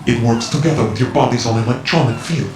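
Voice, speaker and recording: An actor playing a mysterious, cryptic male informant in a video game cutscene, speaking in a measured, lecturing way. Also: mid-range voice, lightly acted. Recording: roomy game mix with loud music.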